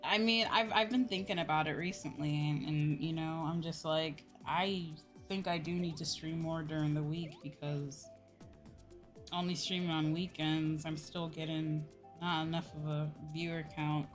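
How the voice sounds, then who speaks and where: A woman's voice: low voice, fairly even intonation, moderate pace. A woman livestreamer chatting casually while gaming, in an informal, conversational way with emphatic repetition. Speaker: livestreamer, VTuber. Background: background music.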